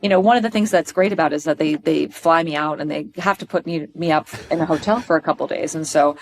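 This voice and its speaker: A middle-aged woman from Pennsylvania, a stage performer chatting as a podcast guest, gushing and animated, with lots of restarts. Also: deep voice, fast talker. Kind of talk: casual interview chat.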